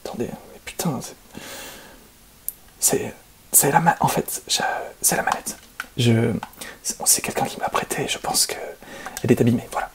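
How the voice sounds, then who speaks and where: A man playing a boastful, excuse-making video game speedrunner in an ASMR roleplay, speaking softly and half-whispered with smug, hesitant phrasing. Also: mid-range voice, moderate pace, long pauses, lightly acted. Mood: defensive bragging. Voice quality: soft, breathy, half-whispered, creaky. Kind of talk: ASMR roleplay, soft gaming commentary.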